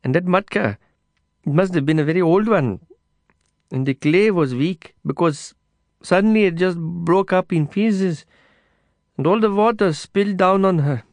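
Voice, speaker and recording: A man doing a small, good-hearted Indian taxi driver in an audiobook, speaking Indian English with a sing-song, childlike earnestness. The voice sits high and bright above the narrator's, recorded close and dry with no room sound. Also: measured pace, dry recording. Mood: earnest storytelling.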